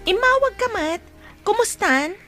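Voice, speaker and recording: A woman's voice: extremely high pitch, expressive, a little slow, bright, forceful tone, soft background music, full character voice. A woman playing a mischievous, teasing young female best friend in a Filipino radio drama. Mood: playful, teasing.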